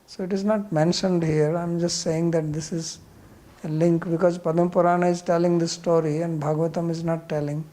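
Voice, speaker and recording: Indian-accented male spiritual teacher, dark mellow tone, direct and explanatory. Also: on the high side, level tone, fairly quick. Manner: blunt, questioning, explanatory. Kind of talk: scripture class question and answer.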